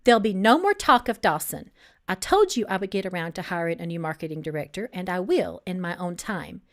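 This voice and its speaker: A woman voicing a tough businesswoman in her late twenties in an audiobook, firm, brisk and exasperated. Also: on the low side, animated, pitched below the performer's own voice, natural voice. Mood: dry, stern.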